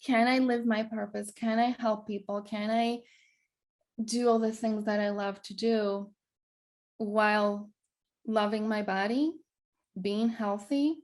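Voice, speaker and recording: A woman's voice: medium pitch, fairly even intonation, slow, darkish tone. Greek-accented woman telling her story. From Greece. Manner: earnest, repeats words as she searches for them. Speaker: guest. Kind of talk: personal storytelling in a conversation.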